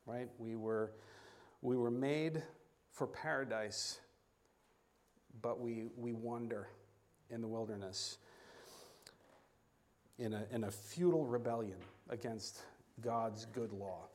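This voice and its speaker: A man preaching a sermon as a guest speaker, halting and often repeating words, with self-deprecating asides. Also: medium pitch, darkish tone.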